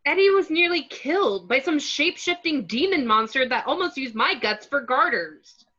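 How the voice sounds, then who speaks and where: Woman reading brash teen boy, sarcastic, bright sharp voice, lo-fi home mic. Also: high-pitched, expressive, normal speed. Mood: scoffing. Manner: mouthy, sarcastic. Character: brash wisecracking teenage boy.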